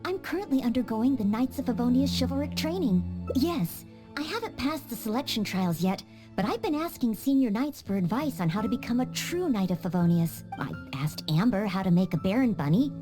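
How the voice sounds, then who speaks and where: An actress voicing an earnest, polite young maid training to be a knight in a game cutscene, with small hesitant stammers. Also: female voice, a little high, expressive, a little fast, music in the background, natural voice. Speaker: game character.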